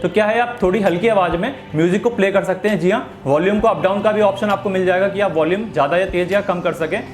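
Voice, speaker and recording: A man's voice: high voice, fast. A man giving an informal gadget review, explaining things step by step with repetitive phrasing. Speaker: product reviewer. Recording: echoey room, faint music behind.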